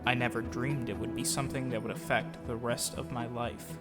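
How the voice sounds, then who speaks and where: A man narrating childhood memories in an audio drama, reflective and measured, as a scripted first-person storyteller. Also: medium pitch, flat delivery, close to an everyday voice. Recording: long reverb, faint music behind.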